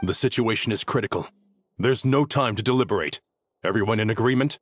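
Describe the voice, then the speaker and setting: An actor playing a stern, decisive senior teacher in a video game, brisk and commanding. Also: male voice, fairly low pitch, natural voice. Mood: urgent.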